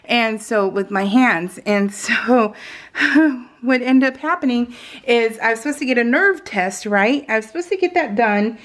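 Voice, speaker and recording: A woman's voice: a little high, unhurried, fairly bright tone. A woman chatting casually while crafting on her vlog, rambling off on tangents in a jokey, self-deprecating way. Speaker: craft vlogger.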